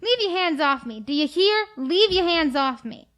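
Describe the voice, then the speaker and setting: Brash young hitchhiker girl played by a woman, radio play: extremely high pitch, animated intonation, sassy and slangy. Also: moderate pace, slight background noise. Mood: alarmed, defiant. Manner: sassy, breezy, exclamatory. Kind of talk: old-time radio drama acting.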